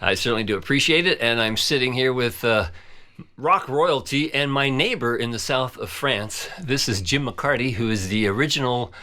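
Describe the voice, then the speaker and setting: A man's voice: mid-range voice, moderate pace, bright, forceful tone. A man hosting an interview, asking friendly questions and adding short interjections. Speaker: interview host. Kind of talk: casual interview.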